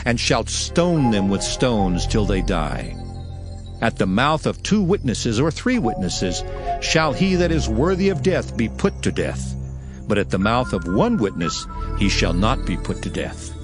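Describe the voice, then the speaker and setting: An actor voicing a solemn prophet proclaiming ancient law in a declamatory, emphatic dramatized scripture reading. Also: male voice, mid-range voice. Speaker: scripture narrator.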